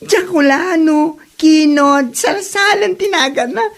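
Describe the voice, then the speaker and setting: A woman's voice: high-pitched, slow pace. A woman with an Ilocano Filipino accent playing a dramatic, mocking character in a comedy drama, with theatrical, laughing exclamations. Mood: mocking dismay.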